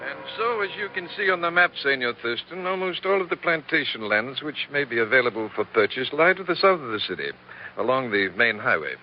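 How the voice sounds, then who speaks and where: An actor playing a courteous, helpful bank manager, polite and explanatory, in 1940s radio drama style. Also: male voice, mid-range voice, very animated, a little fast, close to an everyday voice. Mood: obliging. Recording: muffled, noisy old radio broadcast.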